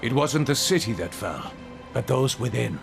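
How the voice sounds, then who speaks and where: An ancient angel ruler in a fantasy game, proud and self-righteous. His mid-pitched voice has a creaky edge and moves in solemn, grandiose, measured phrases over clear music. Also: expressive. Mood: grave, solemn. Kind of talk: video game cutscene acting. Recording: music behind the voice.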